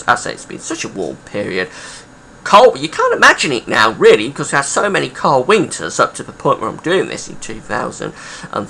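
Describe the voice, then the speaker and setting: A croaky, gravelly man narrating a weather history video in a chatty, rambling way. His pitch swings up and down a lot, and his tone is bright and sharp. A faint hiss of noise runs under the voice. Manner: chatty, rambling with many uh fillers.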